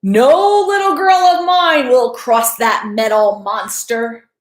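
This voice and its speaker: A woman's voice: high voice, slow. Woman reading as a stubborn, forbidding storybook father. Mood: stern, forbidding. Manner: declamatory, forbidding. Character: stubborn, fearful father declaring a bridge unsafe. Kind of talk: storybook character in a read-aloud. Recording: echoey room.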